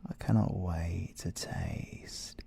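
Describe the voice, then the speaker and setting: A British man playing a possessive, scheming siren in an ASMR audio roleplay, seductive and theatrical, with drawn-out words and whispers. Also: low voice, fairly even intonation, gravelly, mellow, slightly rough, exaggerated voice. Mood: seductive, sinister. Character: possessive, scheming male siren, obsessive lover and villain.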